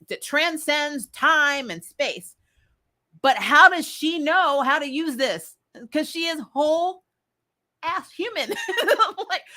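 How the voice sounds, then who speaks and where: A woman hosting a casual commentary video, giving blunt opinions in an animated, exasperated way. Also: a little high. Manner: exasperated, blunt and animated, laughing as talk goes. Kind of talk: casual opinionated fan chat.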